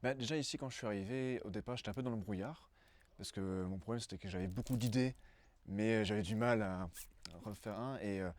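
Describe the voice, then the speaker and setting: Young man, lowish voice, hesitant speaker. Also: a little fast, darkish tone, dry recording. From Brussels, Belgium. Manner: hesitant, searching for words, repeating himself. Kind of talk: casual testimony in an interview.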